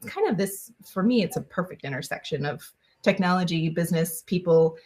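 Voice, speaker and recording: A woman's voice: fairly low pitch, expressive, brisk, darkish tone. A woman guest on an interview podcast, answering in a chatty way with many fillers and restarts. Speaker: guest, engineer.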